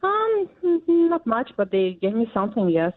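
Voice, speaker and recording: Woman, 40s, Russian accent, Russian native, interview guest, halting with fillers, tinny phone-line sound. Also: a little high, big pitch swings. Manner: halting, many fillers and pauses. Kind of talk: interview answers.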